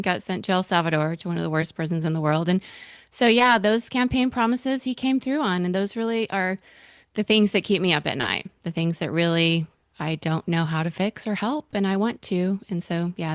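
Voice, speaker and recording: A woman who grew up in Venezuela speaks as a guest on a political talk show. Her voice sits fairly low, and the sound is close and dry. She talks earnestly in long, flowing sentences. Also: no echo. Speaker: podcast guest. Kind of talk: casual roundtable discussion.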